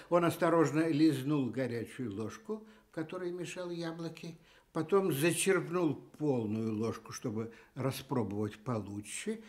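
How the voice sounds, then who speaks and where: A man telling a children's fairy tale for Soviet radio in an expressive storytelling style. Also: a little high.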